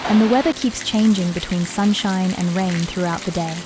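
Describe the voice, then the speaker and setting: A young woman from Vietnam, narrating a travel vlog about her home village in a calm, measured, explanatory voice-over. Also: fairly low pitch, background noise. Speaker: vlogger. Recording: voice-over with clear background music. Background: background music.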